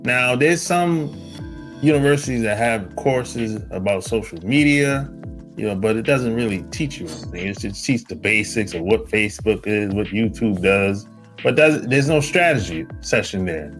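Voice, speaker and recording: A man hosting an interview podcast, asking questions in an unhurried, conversational way. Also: fairly low pitch, soft background music. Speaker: podcast host.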